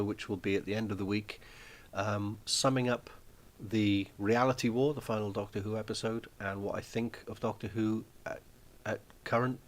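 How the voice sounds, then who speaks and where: A man hosting a live stream from his small flat, chatting casually and rambling with lots of ums and restarts. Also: lowish voice. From Wales.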